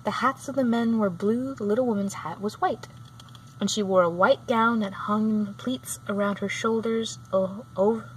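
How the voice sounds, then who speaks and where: A woman narrates a children's storybook at a middle pitch. She reads a little haltingly, sometimes repeating a phrase. Clear background noise sits under the voice. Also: low-quality recording. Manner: halting, often rereads phrases. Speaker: storybook reader. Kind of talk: read-aloud narration of a children's book.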